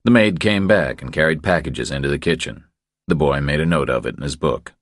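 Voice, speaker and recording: A man reads scripted thriller narration in an extremely deep voice. His delivery is measured and deadpan, with terse phrasing. The sound is dry, free of room echo. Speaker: audiobook narrator.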